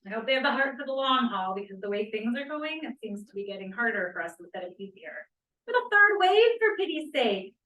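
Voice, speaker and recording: A woman reads the part of a wistful young feminist full of earnest doubt. Her voice sits fairly high, and she muses through the script. The room rings with echo and the microphone is at some distance. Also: sing-song, a little fast, bright, forceful tone. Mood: worried, doubting. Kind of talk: table read of a play script.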